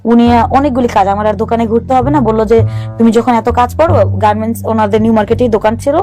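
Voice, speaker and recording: A woman, telling her life story as a talk show guest in an earnest, halting way, often restarting her sentences and mixing in English words. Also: fairly high pitch, warm tone, faint music behind.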